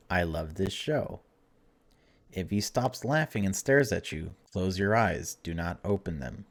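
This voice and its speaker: A man with a low voice plays through a game for viewers. He reads the text aloud and comments on it in an informal, chatty way, dropping wry remarks as he goes. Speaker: video game streamer. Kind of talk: casual let's-play commentary mixed with reading game text aloud.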